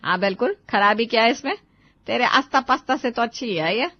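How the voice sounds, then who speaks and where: A woman's voice: on the high side, lively intonation, brisk, dry recording, dull audio. A woman playing a caring, thrifty middle-aged mother in a cartoon moral story, reasoning and scolding in a homely way. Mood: indignant.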